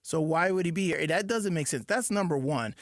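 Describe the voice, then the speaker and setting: Male radio co-host, ex-player, higher pitch, emphatic, dry mic. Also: lively intonation, no room sound. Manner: quick agreeing interjections, emphatic repeats. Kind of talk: casual sports talk.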